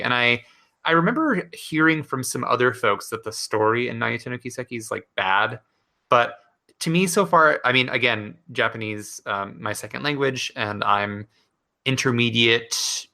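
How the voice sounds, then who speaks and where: A man's voice: medium pitch, moderate pace, bright, forceful tone. A man co-hosting a gaming podcast, talking casually and enthusiastically with many fillers. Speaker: podcast co-host. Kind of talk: casual chat.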